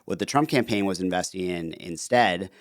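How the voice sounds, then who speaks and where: A man with a very low, smooth and resonant voice, talking through an interview as an easygoing podcast guest. He speaks in quick, animated bursts full of fillers. The sound is dry and close. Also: dry recording. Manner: fast, animated talker with many fillers. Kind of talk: casual interview conversation.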